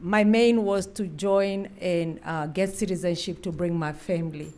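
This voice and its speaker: A woman's voice: on the low side, steady tone, unhurried, mellow. A middle-aged woman from Kenya, a Navy chief speaking earnestly and gratefully at a ceremony, then calling out names. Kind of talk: ceremony speech.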